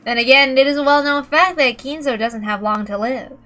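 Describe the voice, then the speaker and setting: Bright-voiced woman narrating a story. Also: high voice, normal speed. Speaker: reader of a visual novel playthrough. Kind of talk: scripted narration. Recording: faint music behind the voice. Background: faint music.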